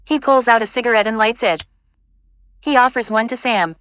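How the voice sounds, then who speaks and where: High-voiced woman narrating an audio play. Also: moderate pace, a bit bright, smooth. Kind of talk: scripted stage-direction narration.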